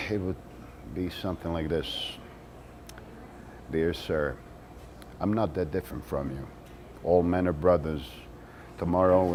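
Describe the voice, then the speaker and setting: A man acting a theatrical monologue as a wry former activist, speaking deliberately with dramatic pauses. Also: low-pitched, noisy background. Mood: wry, measured. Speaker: actor reading a monologue.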